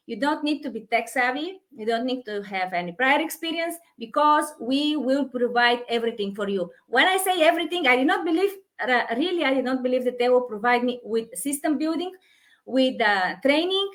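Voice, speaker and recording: A woman with a Hungarian accent who runs an online business, talking in a halting way with many fillers and restarts. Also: high voice, a bit bright. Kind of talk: casual conversation.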